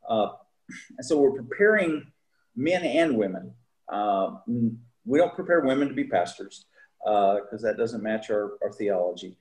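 A middle-aged man, a seminary teacher, talking casually in an interview with frequent pauses and fillers. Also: on the low side, animated, darkish tone, low-quality recording. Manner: halting, many fillers and restarts.

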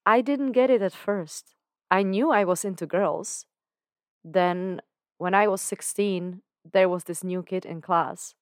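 A woman's voice: medium pitch, unhurried, dry recording, studio quality, voice pushed up, close to an everyday voice. A woman voicing an emotional young man who talks in an earnest, candid way with casual slang. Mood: confessional. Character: young man, emotional and confessional, self-doubting.

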